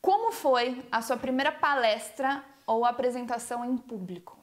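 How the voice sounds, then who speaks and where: A woman's voice: a little high, animated, moderate pace. A woman interviewing in a casual chat, reading out questions steadily and adding short comments.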